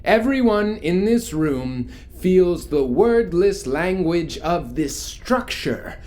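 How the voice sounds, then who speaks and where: An actor playing a charismatic, ominous cult leader in a horror audio drama, preaching grandiosely with rhetorical pauses. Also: male voice, high voice, very animated, darkish tone, exaggerated voice. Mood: mystical, commanding. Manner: theatrical, grandiose, pausing for rhetorical effect.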